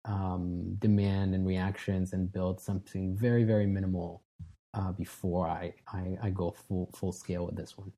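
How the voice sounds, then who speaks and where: A man in his thirties from Israel, a guest telling his story in a relaxed, casual way with frequent restarts. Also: deep voice, moderate pace. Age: 30s. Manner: relaxed, frequent restarts and fillers, self-deprecating. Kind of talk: casual interview talk.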